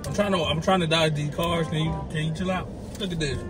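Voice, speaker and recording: A man's voice: a little high, fairly even intonation, quick pace, over music. Teasing man eating behind the wheel. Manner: teasing, dismissive, talking while eating. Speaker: husband in a prank video. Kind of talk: casual bickering. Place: driver's seat of a car. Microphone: dashboard camera.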